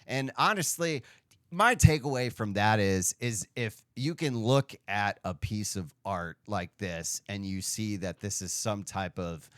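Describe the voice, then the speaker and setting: A man's voice: fairly low pitch, measured pace, no echo, studio quality. A man co-hosting a casual movie review chat, speaking emphatically and animatedly. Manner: animated, emphatic, lively delivery. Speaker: podcast co-host.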